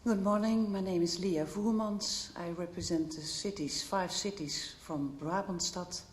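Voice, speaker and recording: A woman's voice: on the low side, steady tone, measured pace. A woman introducing herself at a round-table meeting, listing her responsibilities in a businesslike way. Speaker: regional external affairs officer. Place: large council hall. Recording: echoey hall with faint ambience.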